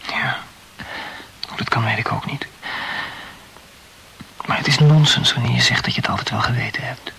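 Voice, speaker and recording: An actor playing an evasive, self-absorbed lover in an intimate radio play, reasoning wordily in a breathy voice. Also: male voice, mid-range voice, normal speed, long pauses, sharp tone, in a whisper, low-quality recording. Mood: defensive. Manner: wordy, rationalizing.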